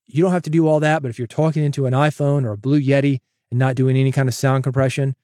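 A man's voice: mid-range voice, fast talker, no room sound. Upbeat man podcasting, dry studio sound. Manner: energetic, coaching, direct address. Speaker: podcast host. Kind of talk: solo podcast advice with sponsor read. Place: home studio, processed dry voice. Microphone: studio broadcast dynamic mic.

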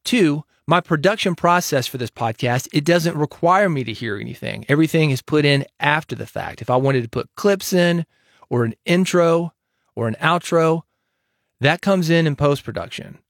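A man's voice: a little high, lively intonation, normal speed, vocal fry, no echo. A man hosting his own podcast alone, giving direct, confident advice into a studio microphone. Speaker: podcast host. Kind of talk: solo podcast talk.

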